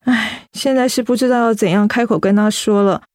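A young office woman played by an actress in a radio drama, keeping her troubles to herself. Her slightly high voice has a Taiwanese Mandarin accent and a hesitant, apologetic manner, recorded close and dry. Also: fairly even intonation, fairly quick, no echo, lightly acted. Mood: apologetic, subdued. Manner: hesitant, apologetic, softly evasive.

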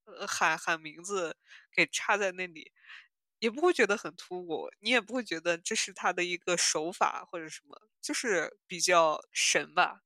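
Bright, crisp-edged woman chatting casually. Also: on the low side, normal speed, no room sound. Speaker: podcast co-host. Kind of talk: casual chat about audio dramas.

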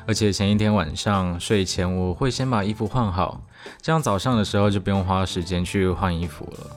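A man's voice: sits low, fairly quick, lightly acted. A man with a Taiwanese accent playing a dependable army veteran housemate who gives earnest, mentoring advice in a radio drama. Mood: earnest, reassuring. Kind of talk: scripted radio drama dialogue. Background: background music.

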